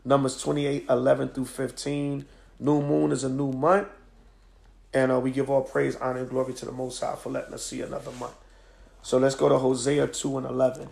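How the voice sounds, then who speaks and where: A man with a hoarse voice from a cold, teaching scripture in a livestream from home at night, emphatic and preacherly with frequent "all right?" checks. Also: medium pitch, darkish tone. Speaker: teacher of a religious group. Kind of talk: live-streamed scripture lesson. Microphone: phone on a stand.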